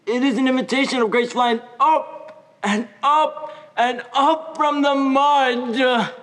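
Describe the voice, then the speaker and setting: A student actor playing a gentle, earnest, deformed young man who stammers and speaks haltingly in a stage play. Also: medium pitch. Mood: reverent, earnest. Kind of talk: high school stage play acting. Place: school theater stage. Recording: echoey theater hall.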